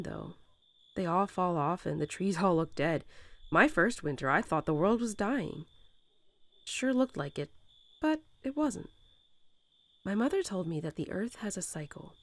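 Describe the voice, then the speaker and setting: A woman's voice: on the low side, no echo. A woman playing a young mother wolf who talks tenderly and without hurry to her newborn pups, in the style of a bedtime roleplay audio. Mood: gentle wonder. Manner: tender, unhurried, softly chuckling, hesitant informal phrasing. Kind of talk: soothing bedtime roleplay audio, intimate storytelling.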